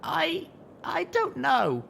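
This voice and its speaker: A man puts on a young mare heroine from an audiobook, earnest and tender-hearted. The voice is pitched very high and slightly hesitant, with faint noise behind it. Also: wildly swooping pitch, drawn-out words, gravelly, fairly bright tone, full character voice. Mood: unsure.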